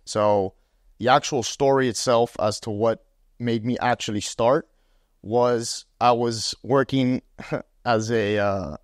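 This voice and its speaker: A man's voice: mid-range voice, slow, no echo, clean studio sound. A young man from Miami, a podcast guest, talking through his story in a casual interview with a lapel mic in a small room. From Miami, USA. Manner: animated, gestures, uses fillers like "um" and "right?". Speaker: podcast guest, sales executive. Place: small room with armchairs, indoors.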